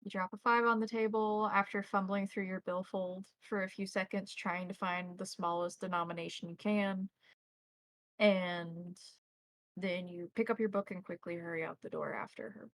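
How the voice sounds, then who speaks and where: A woman with a medium-pitched voice serves as game master for a tabletop roleplaying session. She moves between descriptive narration of the scene and plain talk with the players about rules and rolls. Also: steady tone, moderate pace, fairly bright tone, no room sound.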